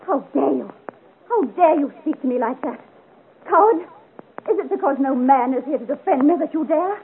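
A woman's voice: high voice, animated, moderate pace. An actress playing a spirited young noblewoman with earnest, refined, theatrical delivery in a 1950 radio serial. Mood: indignant. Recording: tinny old 1950 radio recording, hiss.

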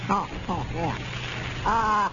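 An actor playing a fretful, slow-witted old storekeeper, stammering and hesitant in 1930s radio comedy style. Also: male voice, high voice. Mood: flustered. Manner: hesitant, stammering with many uhs. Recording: muffled, hissy 1930s radio transcription.

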